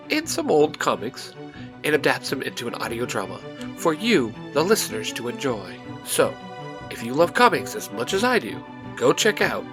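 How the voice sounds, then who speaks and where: A man reading a scripted podcast promo as an announcer. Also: medium pitch.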